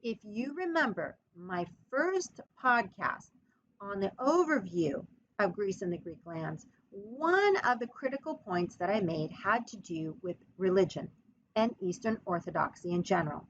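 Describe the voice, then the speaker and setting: A woman giving a history podcast lecture in an emphatic, expressive storytelling manner. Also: medium pitch, unhurried. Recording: muffled lo-fi recording.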